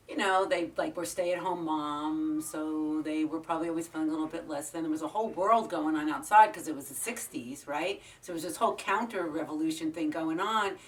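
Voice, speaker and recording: A woman's voice: deep voice, far from the mic, poor audio. A woman performer talking casually and reflectively in an interview. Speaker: actress and monologist.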